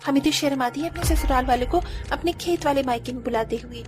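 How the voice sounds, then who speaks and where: A woman's voice: sits high, fast, over music, natural voice. A woman voicing a young, spoiled married daughter in a cartoon, speaking haughtily and complaining. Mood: scornful.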